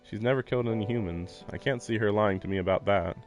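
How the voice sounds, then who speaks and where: A man's voice: lowish voice, quick pace. A man reading a visual novel aloud as a streamer, dropping in casual, wry asides. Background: music.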